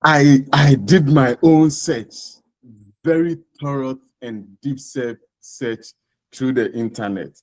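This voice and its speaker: A man with a Ghanaian accent answering interview questions off the cuff, in deliberate, halting and emphatic phrases. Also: mid-range voice, darkish tone, dry recording. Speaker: interview guest, scholarship winner.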